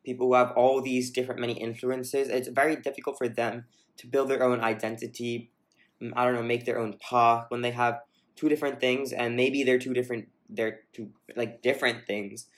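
A man's voice: mid-range voice, flat delivery, brisk. A man co-hosting a casual discussion podcast, talking reflectively and hedging, with frequent restarts mid-sentence. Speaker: podcast co-host.

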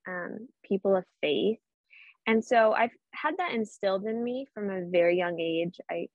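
A woman's voice: mid-range voice, dry recording. A seventeen-year-old girl with a Boston accent, answering interview questions earnestly with frequent ums. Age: teens. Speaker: podcast guest. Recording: dull lo-fi sound.

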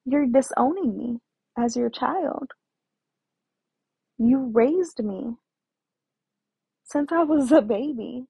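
A woman's voice: sits high, slow, creaky, pauses often, warm tone, husky, dry recording. A woman telling her own life story in a hesitant way, with many fillers and restarts. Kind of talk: personal storytelling. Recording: tinny phone-like dry sound.

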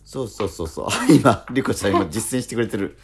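Male radio host, lively swooping intonation, husky voice, casual and slightly halting talk, soft music underneath. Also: fairly low pitch. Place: radio studio.

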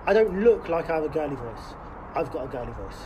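A man's voice: fairly high pitch, big pitch swings, quick pace, very noisy. A man, co-hosting a casual podcast chat. He rambles, swears a lot and laughs often. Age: late 20s. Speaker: podcast co-host.

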